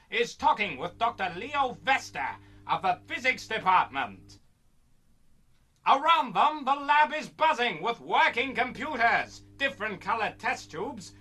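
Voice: mid-range voice, pushed and bright, breathy edge, heavily acted. A performer playing a bombastic, melodramatic narrator in an old-time radio serial parody. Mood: mock-grand. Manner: melodramatic, grandiose.

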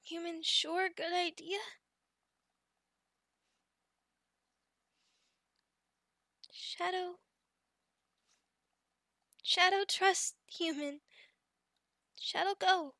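A woman voicing a young adult female goblin in an ASMR roleplay, childlike, sweet, devoted and timid, speaking in short halting phrases of broken third-person speech. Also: very high voice, fairly even intonation, sharp tone, dry recording, studio quality, exaggerated voice. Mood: shy, trusting.

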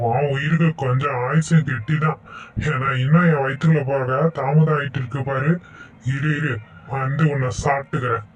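A man's voice: medium pitch, far from the mic, lightly acted, rough recording. A man voicing a gloating greedy eagle. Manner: gloating, threatening. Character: greedy boastful male eagle predator. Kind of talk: cartoon voice acting.

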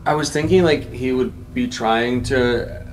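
A man's voice: mid-range voice, measured pace, a little hiss or hum, poor audio. A man chatting casually as a player in a tabletop roleplay game.

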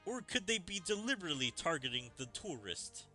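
A man's voice: a little high, big pitch swings, brisk, bright, forceful tone, faint music behind, full character voice. A man voicing a dutiful, thoughtful armored warrior from a fantasy game, reading the lines aloud.

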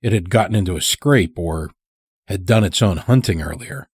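A man hosting and narrating a horror fiction podcast, reading from a script in a measured, deliberate way. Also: low-pitched, expressive, husky, no echo, studio quality. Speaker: podcast host and story narrator.

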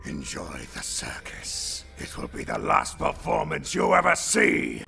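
Wildly swooping, gravelly killer clown. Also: male voice, a little high, huge pitch swings, unhurried, faint music behind, full character voice. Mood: taunting, sinister. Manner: swings from hushed whispers to loud cackling menace. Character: menacing sadistic circus clown killer, playful and mocking.

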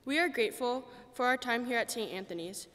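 A woman's voice: fairly high pitch, animated, fairly quick, fairly bright tone. A teenage girl reading a prepared speech as a graduating student at a church podium. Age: teenager, about 14. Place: large church, indoors. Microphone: podium microphone. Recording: reverberant church podium recording.

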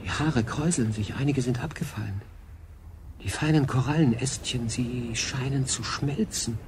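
An actor playing a thoughtful, troubled, earnest stranded sailor in a radio play, with deliberate delivery and dramatic pauses. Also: male voice, mid-range voice, noisy background, close to an everyday voice. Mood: shaken, apologetic. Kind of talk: German radio play acting.

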